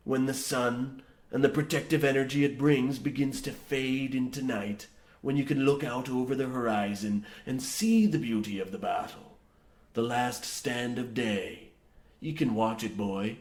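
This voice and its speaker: An actor playing a grizzled, half-mad old coal miner, boastful and ominous, rambling theatrically in rustic archaic phrasing in a comic old-time radio horror play. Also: male voice, medium pitch, close to an everyday voice. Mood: wistful, grandiose. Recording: roomy, echoing sound.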